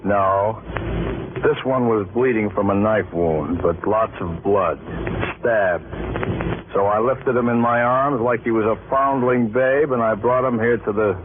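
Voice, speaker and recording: A man playing a creepy, mockingly gentle male nurse with an oily, over-familiar manner, in 1940s radio drama style. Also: fairly low pitch, a little slow, lightly acted. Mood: smug, sardonic. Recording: muffled, noisy 1940s radio recording.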